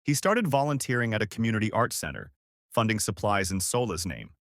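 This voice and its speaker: A man's voice: on the low side, big pitch swings, fairly quick, no echo, studio quality. A man narrating a scripted story as a storyteller.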